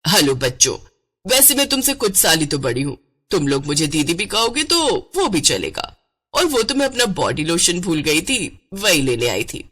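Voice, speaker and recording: A woman's voice: fairly low pitch, big pitch swings, normal speed, fairly bright tone, slightly rough, full character voice. A woman voicing a brazen, fashion-proud middle-aged mother-in-law in a cartoon story, with a haughty, dismissive manner. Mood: breezy.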